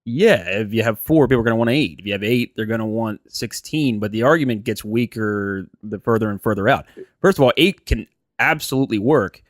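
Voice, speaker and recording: American male podcast co-host, fairly deep, dry recording, informal and opinionated sports banter. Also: a little fast, no room sound. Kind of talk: casual sports chat.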